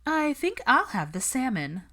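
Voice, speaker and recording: Woman putting on a snobbish, high-pitched condescending young woman. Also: sing-song, a little slow, pushed and bright, exaggerated voice. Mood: fussy. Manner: condescending, matter-of-fact, tactless. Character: snobbish, rude, opinionated young woman at brunch.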